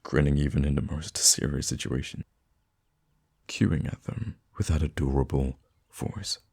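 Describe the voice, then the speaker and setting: Man voicing a very deep, gravelly theatrical supervillain. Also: steady tone, unhurried, a little mellow, full character voice. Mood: exasperated fondness. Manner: dramatic, flustered, teasing, stammering when thrown off. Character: theatrical male supervillain fond of his hero nemesis. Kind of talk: ASMR roleplay monologue.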